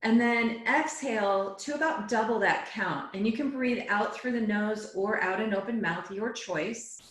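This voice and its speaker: A woman yoga teacher guiding a relaxation and meditation class in a soothing, unhurried way, phrase by phrase. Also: medium pitch. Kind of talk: guided relaxation and meditation instruction. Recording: echoey, roomy sound.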